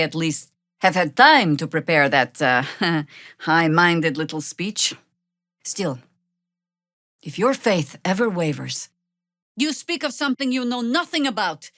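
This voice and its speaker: A woman voicing a weary, sardonic veteran druid warrior in a fantasy video game, speaking in a dry, measured way. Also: fairly low pitch, a bit bright, no room sound, heavily acted. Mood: grave, resolute.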